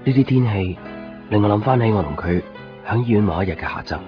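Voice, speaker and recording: A male actor plays a tender young man with a Hong Kong Cantonese accent. His lowish voice is gentle and reflective, in radio drama style. Music plays clearly behind, and the sound is slightly dull. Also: expressive, fast talker, natural voice. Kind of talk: 1990s radio drama narration and dialogue.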